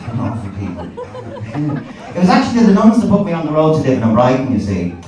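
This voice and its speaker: A man's voice: medium pitch, wildly swooping pitch, fast talker, a little mellow. A man in his forties with an Irish accent performing a sweary, wry stand-up routine to a live audience, telling stories with acted-out dialogue. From Ireland. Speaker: stand-up comedian. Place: indoor comedy venue before a live audience. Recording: echoey live venue, distant, noisy.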